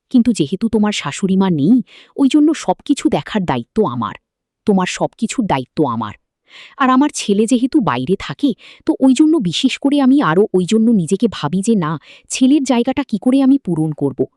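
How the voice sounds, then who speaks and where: Woman voicing a coaxing older father-in-law, very animated. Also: mid-range voice, dry recording, studio quality, pitched above the performer's own voice, lightly acted. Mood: doting, coaxing.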